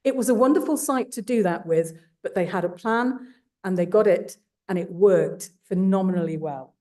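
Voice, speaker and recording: A woman giving a formal awards speech, enthusiastic and warm, with small asides to the audience. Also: mid-range voice, normal speed. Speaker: awards presenter.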